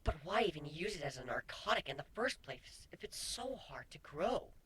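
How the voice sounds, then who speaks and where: A woman playing a brazen, teasing female pony with a breathy, husky voice in a dramatic fan fiction reading. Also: low-pitched, big pitch swings, moderate pace, crowd noise. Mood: curious. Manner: sly, blunt, provocative.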